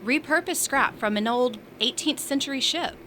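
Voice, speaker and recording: A woman acts out a feisty space station resident in a science fiction audio drama. Her voice is bright and punchy, and she teases with sassy, bantering delivery. Faint background ambience runs behind her. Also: high-pitched, moderate pace, a little hiss or hum.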